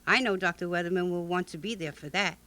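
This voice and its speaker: A woman's voice: on the low side, fast, pushed and bright. A woman playing a scientist's loyal top assistant in an old-time radio drama. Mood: surprised. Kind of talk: Golden Age radio drama acting.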